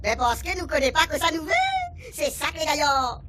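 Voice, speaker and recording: Alien bureaucrat, high and chattering, Réunionese Creole accent, radio comedy; woman in the role. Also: a little fast. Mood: excited. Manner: rambling, excited, Creole-tinged French. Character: chattering alien official from another planet. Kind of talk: radio comedy serial acting.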